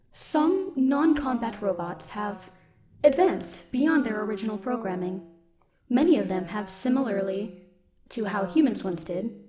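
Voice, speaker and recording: A woman playing a stoic, dutiful military robot in an audio roleplay, speaking in a formal, measured, protective way. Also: fairly high pitch, natural voice. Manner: formal, measured, literal wording. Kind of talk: scripted audio roleplay, speaking softly to one listener.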